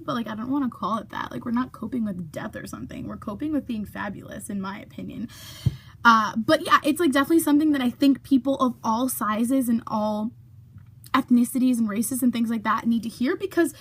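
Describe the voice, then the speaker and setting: A young woman chatting casually to her camera in a vlog, full of fillers, sometimes dropping to a whisper for asides. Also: a little high. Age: young adult. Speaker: YouTuber.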